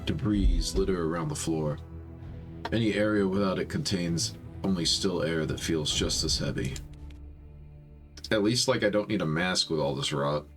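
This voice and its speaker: A man's voice: low voice, moderate pace, fairly bright tone, soft background music. A man hosting a storytime game playthrough, reading narration aloud and chatting casually. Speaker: host reading a visual novel aloud.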